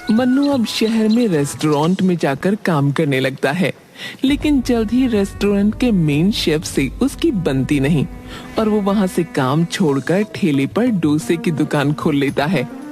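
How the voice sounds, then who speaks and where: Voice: mid-range voice, animated, a little slow, darkish tone. Storytelling cartoon narrator over music. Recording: background music under voice.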